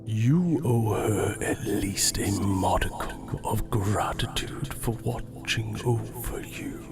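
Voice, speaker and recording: Creaky whispering demon tempter, very slow, sly, formal, horror audio drama, music behind. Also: mid-range voice, animated. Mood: sly menace. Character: sinister whispering supernatural tempter, sly, ancient, menacing.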